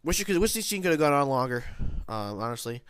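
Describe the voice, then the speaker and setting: A man's voice: mid-range voice, sing-song, fast, clear, resonant tone, dry recording. A man with a nasal voice, congested from hay fever, giving a casual, rambling spoken review of a TV episode. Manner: rambling, many restarts and self-corrections.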